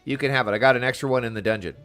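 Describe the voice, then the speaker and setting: A man's voice: medium pitch, rapid-fire, fairly bright tone, soft background music. A man doing casual livestream game commentary, chatty and playful with sing-song asides. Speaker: game streamer.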